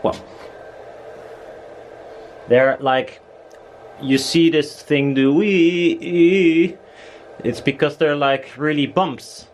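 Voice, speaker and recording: A man's voice: fairly high pitch, extremely slow, long pauses, a little hiss or hum. A man talking casually and bluntly as he presents his own DIY build, rambling informally. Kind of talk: casual workshop chat.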